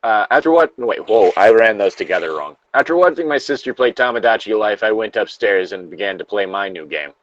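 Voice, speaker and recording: A man's voice: lowish voice, lively intonation, brisk, hardly any pauses, no echo, highs cut off. A man reading a story aloud casually, with profane, self-interrupting asides and banter as a co-host. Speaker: co-host reading and riffing on internet horror stories.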